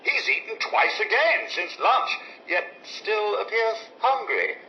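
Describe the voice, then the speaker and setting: A fussy, deferential British robot butler for a vintage radio play. The voice sits high and swings widely in pitch. It comes through an echoey, lo-fi recording. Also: male voice, huge pitch swings, takes it slowly, exaggerated voice. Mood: cheerful, formal. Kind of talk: old-time radio drama acting. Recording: echoey lo-fi radio drama, faint noise.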